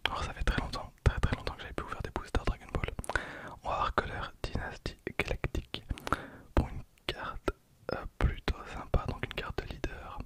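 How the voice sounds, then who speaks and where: Whispering young man doing ASMR. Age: 20s. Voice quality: breathy unvoiced whisper. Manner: soft, unhurried, repeats phrases. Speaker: ASMR creator and host. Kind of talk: whispered ASMR card-opening commentary. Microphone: close handheld-style stereo recorder.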